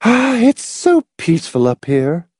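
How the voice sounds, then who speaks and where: A woman's voice: on the low side, very animated, a little slow, darkish tone, no room sound, clean studio sound, voice pushed up. A man reading a story, voicing a fussy, gushing, complacent old woman with a prim, matter-of-fact manner. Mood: genteel fussing. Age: older.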